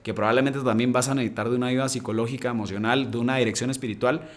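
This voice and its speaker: Male podcast host, casual heartfelt monologue, slangy, soft-to-emphatic delivery, average pitch. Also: fairly even intonation, very fast. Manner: earnest, slangy, addresses listeners directly; loudness swings between soft and emphatic.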